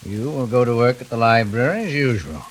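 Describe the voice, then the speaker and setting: A man's voice: medium pitch, animated, a little fast, natural voice. Man acting a coldly polite, menacing intruder. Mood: quiet menace. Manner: formal, commanding, icily courteous. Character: cold, menacing male fugitive intruder, mockingly polite. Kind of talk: 1940s radio acting. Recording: faintly hissy vintage radio recording.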